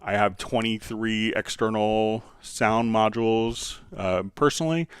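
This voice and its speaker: A man hosting a software tutorial livestream, explaining step by step in an informal, halting way as he thinks aloud. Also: on the low side, steady tone, clear, resonant tone, no room sound, clean studio sound. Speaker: host answering viewer questions on a software livestream.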